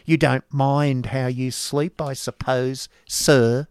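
A man voicing a cold, sharp-tongued middle-aged woman who talks slowly with tart retorts, in a storybook reading. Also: mid-range voice, fairly even intonation, clean tone, dry recording, studio quality, voice pushed up.

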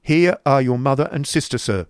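Man as a storybook miser uncle, older, scornful, testy, clipped emphatic delivery, raised pitch, dry close sound. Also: expressive, normal speed, clean tone, no room sound, lightly acted. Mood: gruff, scornful.

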